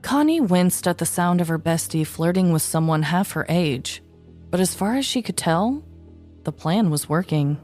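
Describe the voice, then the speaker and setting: Female podcast storyteller: slightly low voice, scripted and mock-dramatic narration over soft music. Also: animated, normal speed.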